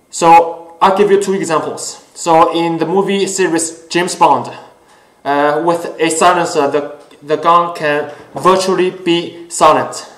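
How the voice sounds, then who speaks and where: A man whose first language is Chinese hosts his own talk show, speaking earnestly with many pauses and fillers. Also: fairly high pitch. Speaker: host. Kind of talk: casual solo talk show. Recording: echoey room.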